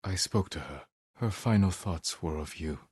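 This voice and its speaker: An actor voicing a solemn, resolute, honourable samurai in a video game, speaking in a terse, measured, serious way. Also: male voice, sits low, darkish tone, breathy edge, dry recording, studio quality. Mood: low, intent.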